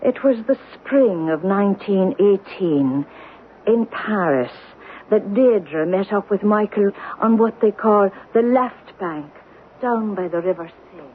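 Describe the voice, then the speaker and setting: A woman's voice: medium pitch, animated, takes it slowly. An actress playing a blunt, brooding older Irishwoman in an old-time radio drama, speaking with an Irish accent. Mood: grim. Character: older Irishwoman, blunt, brooding, recounting her sister's past. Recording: tinny, hissy old radio broadcast.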